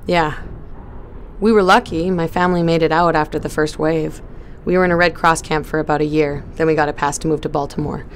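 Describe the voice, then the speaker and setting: An actress playing a quiet, resolute young woman on a spaceship crew. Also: low-pitched, steady tone, brisk, slight background noise, lightly acted. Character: determined young female spaceship crew member.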